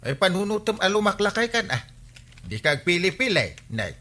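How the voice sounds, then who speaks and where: Wildly animated, exasperated Ilocano uncle. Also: male voice, high-pitched, brisk, heavily acted. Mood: nagging. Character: excitable village captain, bachelor's fussy uncle. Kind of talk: Ilocano comedy radio drama acting.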